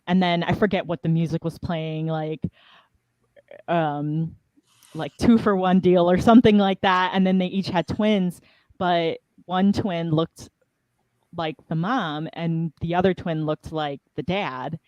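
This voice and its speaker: A woman author, a guest in an online interview, chatting in a relaxed, casual way. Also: lowish voice, measured pace, no room sound, muffled recording.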